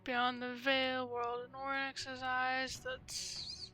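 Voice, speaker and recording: A woman's voice: high-pitched, completely flat delivery, extremely slow, pushed and bright. A woman doing casual livestream game commentary, rambling and thinking aloud in a tentative way. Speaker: game streamer. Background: game music.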